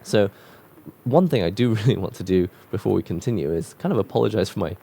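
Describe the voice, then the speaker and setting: A man with a cold-croaked voice talking casually and reflectively while drawing, musing with loose restarts. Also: lowish voice, lively intonation, quick pace, warm tone. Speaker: art vlogger drawing on camera.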